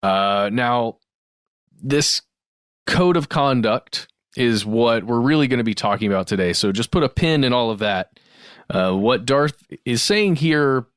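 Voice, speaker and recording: A man's voice: mid-range voice, expressive, measured pace, no echo. Male podcast co-host, dry close studio sound. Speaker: podcast co-host reading listener mail. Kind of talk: conversational podcast, reading emails aloud with asides.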